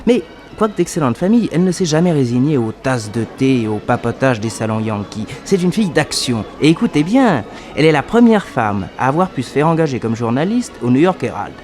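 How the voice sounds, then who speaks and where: An actor playing a detective's nephew who narrates a mystery to the listener in a familiar, confiding radio-drama manner. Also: male voice, mid-range voice, very animated, fairly quick, hardly any pauses, a little mellow, soft background music, close to an everyday voice. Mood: confiding, amused.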